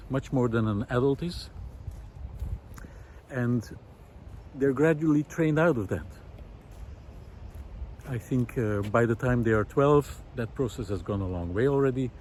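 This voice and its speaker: A middle-aged man musing aloud in a reflective, hesitant monologue with frequent pauses, recorded while walking outdoors. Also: medium pitch, a little mellow. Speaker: visual artist and vlog host. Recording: outdoor ambient noise.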